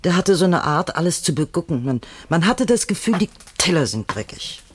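A woman's voice: on the low side, sing-song, a little fast, slightly rough, dry recording. An actress playing a chatty, nosy grandmother in a radio crime drama, homely and rambling in manner. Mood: chatty, fretful. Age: older. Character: chatty, nosy older housewife neighbour, a grandmother.

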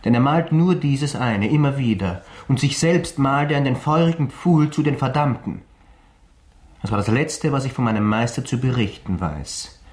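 An actor playing a serious, resolute gentleman of 1914 Vienna in a German radio play, speaking in a measured way. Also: medium pitch, natural voice. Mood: solemn. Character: Felix, sober, resolute gentleman in 1914 Vienna, reading and questioning. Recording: echoey room.

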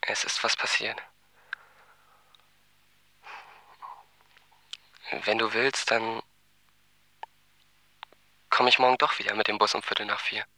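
A man's voice: on the low side, level tone, normal speed, sharp tone, dry recording. A man playing a brusque, domineering teenage boy in a radio drama, curt and dismissive. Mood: guarded, softer.

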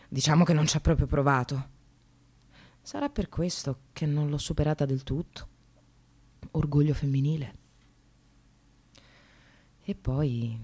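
An actress playing a sardonic, separated working mother in a radio monologue, confessional and swinging from hushed to vehement. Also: sits low. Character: Sara, bitter, self-mocking separated mother in precarious work.

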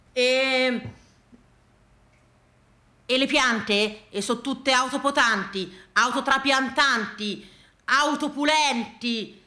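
A woman with a Tuscan accent performing a theatrical monologue, colloquial, with dramatic pauses and repetitions. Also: sits high, bright, forceful tone. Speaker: stage performer, storyteller. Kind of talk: theatrical comic-poignant monologue. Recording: echoey room sound.

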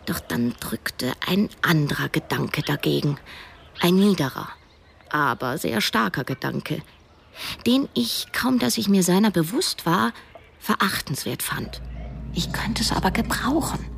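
A woman's voice: fairly low pitch, expressive, measured pace, vocal fry, slight background noise. An actress playing a wry, introspective woman who narrates her own story in a radio drama. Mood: conflicted. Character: cash-strapped woman narrator, wry, conflicted amateur sleuth.

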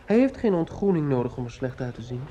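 A man's voice: medium pitch, very animated, fast, mellow, close to an everyday voice. A young man acting in a radio play as a student son, dryly ironic and formally polite to his mother. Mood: sardonic. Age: young adult. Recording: dull, muffled radio recording.

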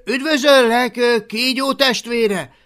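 A man voicing a brash, cheeky young Viking boy who talks in a loud, excited way. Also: very high-pitched, voice pushed up. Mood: polite.

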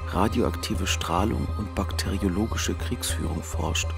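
Brief: a letter writer, gravelly and low, music playing behind. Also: male voice. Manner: slow, measured reading of a letter. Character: man writing a letter to Rita, dismayed.

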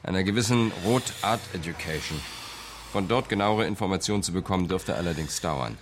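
An actor playing a composed, matter-of-fact police captain in a radio crime drama, giving an orderly briefing. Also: male voice, low-pitched, animated, fairly quick, background noise. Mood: factual briefing.

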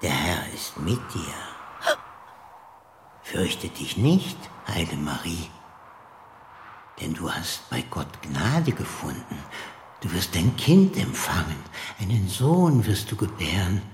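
An actor playing a mild, solemn angel reciting biblical words in a radio play, deliberate in manner. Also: male voice, deep voice, very animated, heavily acted. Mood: solemn annunciation. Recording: echoey, faint noise.

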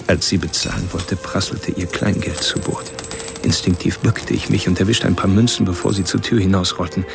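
Radio drama casting: coldly literary serial killer, very low creaky voice, confiding narration over music. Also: male voice, steady tone, brisk. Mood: introspective, detached. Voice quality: low, gravelly voice. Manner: introspective, precise, literary. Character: cold, articulate male serial killer narrating his stalking. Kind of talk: radio play first-person narration. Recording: music under the voice.

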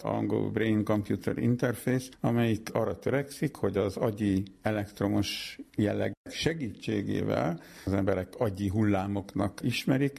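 A middle-aged man speaks as a psychologist being interviewed, explaining his work step by step and patiently clearing up misconceptions. His voice is low, gravelly and slightly husky, with a mellow, darker tone. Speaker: psychologist guest.

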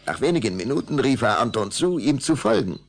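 A man's voice: mid-range voice, very animated, fairly quick, vocal fry, soft background music. A man narrating a children's audio drama in German as a suspenseful storyteller.

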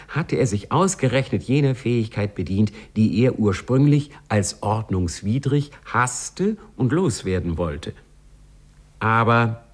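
A man's voice: lowish voice, a little mellow, breathy edge, full character voice. A man playing a sly, wry narrator who addresses the listener in a 1950s German radio play.

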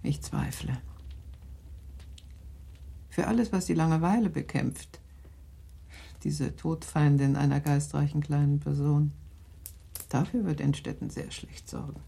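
An actress playing a shrewd, worried middle-aged aristocratic mother in a radio play, talking in a measured, confiding way that sometimes sinks to a whisper. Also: low voice, fairly even intonation, gravelly, dark tone, husky, full character voice. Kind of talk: 1960s German radio drama acting.

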